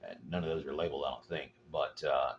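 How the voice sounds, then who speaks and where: A man with an extremely low voice talks casually as a radio operator in a matter-of-fact, rambling manner. The audio is dull and lo-fi, with clear noise around him. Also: steady tone, fast. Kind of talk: casual chat over two-way radio. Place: portable, out at a local spot.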